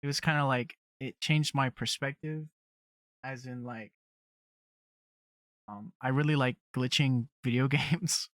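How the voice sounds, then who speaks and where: A man's voice: mid-range voice, fairly even intonation, measured pace, long pauses, a bit bright, dry recording, studio quality. A young man co-hosting a casual podcast, teasing and blunt, swearing casually. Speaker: podcast co-host. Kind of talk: casual chat.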